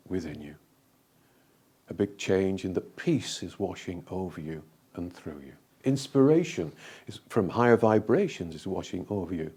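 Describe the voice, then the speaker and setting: A man leading a guided meditation in a calm, gentle, deliberate way, leaving frequent pauses between phrases. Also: on the low side.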